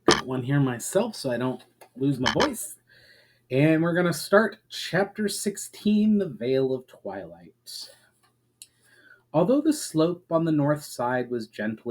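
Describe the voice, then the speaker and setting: Guy author doing a dramatic reading, mid pitched voice, measured, trails off soft at sentence ends. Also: lively intonation. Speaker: author and channel host reading his own book. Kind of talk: dramatic storybook reading of fantasy prose.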